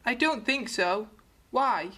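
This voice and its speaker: Fairy-tale heroine, woman actor: clever little girl, slightly high, polite with some cheek. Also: expressive, slow, sharp tone, heavily acted. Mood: meek, sly. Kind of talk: fairy-tale radio drama acting.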